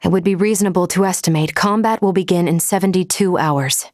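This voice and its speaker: A woman playing a logical, composed female android officer in a video game, speaking formally and precisely in a measured, unemotional way. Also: on the low side, a little mellow, dry recording, clean studio sound, natural voice. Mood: calm, analytical.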